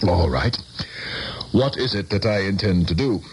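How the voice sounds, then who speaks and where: An actor playing a proud, sardonic middle-aged politician and former teacher in a radio drama, with formal, measured and exasperated diction. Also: male voice, sits low, lively intonation. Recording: noisy old radio broadcast recording.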